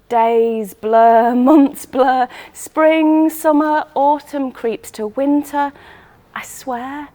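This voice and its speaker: A lonely, self-mocking woman played by an actress tells her own story in a dramatic monologue. Her voice sits fairly high, and she speaks intimately and expressively in short, clipped phrases. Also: darkish tone, close to an everyday voice. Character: lonely woman choir member living alone, wistful, self-mocking. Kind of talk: first-person dramatic monologue.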